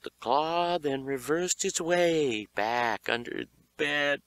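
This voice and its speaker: A man's voice: fairly high pitch, animated, slow, fairly bright tone, smooth. A man narrating children's horror fiction in a theatrical, suspenseful audiobook style.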